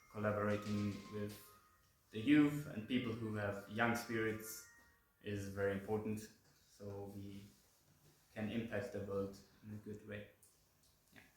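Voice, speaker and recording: A young man with a low, flat voice gives a halting presentation, full of ums. He sounds distant in an echoey room, with faint noise behind. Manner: hesitant, many fillers. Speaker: youth peace group presenter. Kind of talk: informal presentation.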